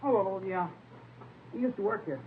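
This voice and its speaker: A man's voice: high voice, moderate pace. A man playing a jumpy, evasive eighteen-year-old soda fountain boy in a vintage radio drama. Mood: nervous, evasive. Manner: jumpy, evasive, short answers. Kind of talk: 1950s radio drama acting. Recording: tinny, faintly noisy vintage radio recording.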